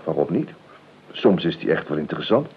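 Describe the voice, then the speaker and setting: An actor playing a grumbling, hungover, self-pitying gentleman in a Dutch radio play, halting and fussy, with lyrical flights. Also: a bass voice, expressive, vocal fry, breathy edge, full character voice. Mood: fussy, weary. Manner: halting, fussy, theatrically weary. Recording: narrow, hissy old radio recording.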